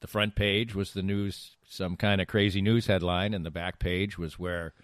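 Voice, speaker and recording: A man's voice: low-pitched, level tone, normal speed, smooth, no room sound, clean studio sound. A middle-aged man co-hosting a podcast, explaining things in loose, rambling casual sports chat. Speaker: podcast co-host.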